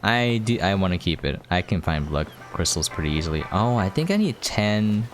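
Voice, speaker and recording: Low-voiced man casually commenting on a game. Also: sing-song, normal speed. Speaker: gaming video host. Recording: clear background noise.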